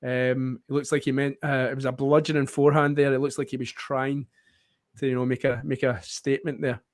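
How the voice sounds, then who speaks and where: A man's voice: medium pitch, level tone, a little fast, no echo, clean studio sound. A Scottish man giving chatty live play-by-play tennis commentary for an online watchalong, with friendly asides to his co-host. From Scotland.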